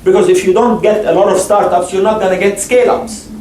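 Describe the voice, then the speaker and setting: A man's voice: high-pitched, fairly even intonation, a little fast, darkish tone, distant mic. A man on a panel talking about investing, emphatic and repetitive, often restarting his sentences. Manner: emphatic, repeats himself, frequent restarts. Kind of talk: panel discussion and audience Q&A. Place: lecture hall. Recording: echoey hall, mic from afar, faint noise.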